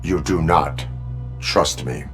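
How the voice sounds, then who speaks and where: A man playing a stoic cyborg police officer in a video game, speaking formally in stiff, deadpan, clipped phrases. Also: extremely low pitch, slow, soft background music, exaggerated voice. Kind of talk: video game cutscene acting.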